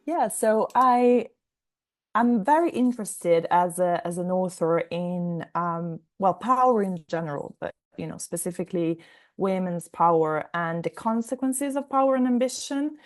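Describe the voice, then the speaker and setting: A young woman who grew up in Italy and speaks Italian as her first language, with a slightly low voice. She talks as an author being interviewed, thinking aloud and explaining her points in a conversational way. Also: animated, unhurried. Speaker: interview guest, novelist.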